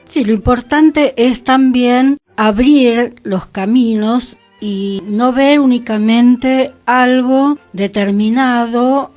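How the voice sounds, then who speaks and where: A woman's voice: a little high, takes it slowly, hardly any pauses, darkish tone, faint music behind. A woman with a Buenos Aires accent chatting on a radio culture show, explaining her views with lists of examples. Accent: Buenos Aires Argentine. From Argentina. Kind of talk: conversational radio discussion about culture.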